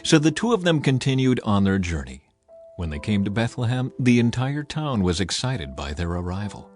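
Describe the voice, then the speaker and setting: Man narrating with animated, swinging intonation. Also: lowish voice, normal speed, soft background music. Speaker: narrator of a dramatized Bible audiobook. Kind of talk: scripted dramatic narration.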